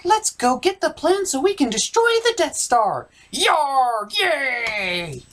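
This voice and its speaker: A reviewer voicing silly, excitable puppet rebels in a goofy, exclamatory way for a comedy skit. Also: high voice, takes it slowly, a little hiss or hum, voice pushed up, full character voice. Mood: gleeful.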